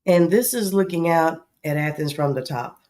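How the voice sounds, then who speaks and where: A woman's voice: sits low, normal speed, darkish tone. A woman with a Southern accent hosting a travel vlog in casual chat, her voice scratchy from allergies and her talk rambling with frequent ums. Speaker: travel vlogger host.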